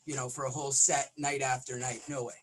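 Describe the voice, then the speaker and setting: A middle-aged man, a rock vocalist, talking in a casual interview with a smoky, raspy voice and lots of restarts. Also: medium pitch, little pitch movement, fast, fairly bright tone. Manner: rambling, frequent restarts and fillers.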